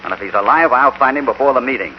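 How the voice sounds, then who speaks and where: An American man acting a calm, shrewd, authoritative cowboy hero in a 1950s radio western. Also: mid-range voice, racing, close to an everyday voice. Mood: calm, probing. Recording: muffled, noisy old radio broadcast.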